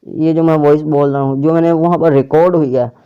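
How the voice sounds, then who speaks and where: A man's voice: a little high, fairly even intonation, a little fast, clear, resonant tone, highs cut off. A man hosting a casual tech tutorial, explaining an app step by step to viewers. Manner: step-by-step instructions addressed to viewers.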